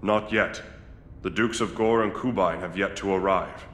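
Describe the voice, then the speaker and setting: Grave aide to a fantasy-game lord: deferential, formal, fairly low, in an echoing room. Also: male voice, fairly even intonation, normal speed, fairly bright tone, slight background noise. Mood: grave, dutiful. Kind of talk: video game cutscene acting. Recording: echoey room, faint music.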